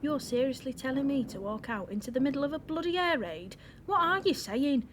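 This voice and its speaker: An actress playing a frustrated young wife from wartime northern England in an audio drama, blunt and emotional, with a Northern English accent. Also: female voice, sits high, animated, fairly quick, a little hiss or hum. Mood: hurt, indignant.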